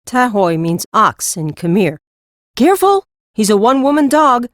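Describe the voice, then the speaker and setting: A woman's voice: a little high, sing-song, a little slow, fairly bright tone, dry recording, studio quality. A woman acting a tough but kindly horse trader in a full-cast audiobook, blunt, practical and no-nonsense. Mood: gruff warning.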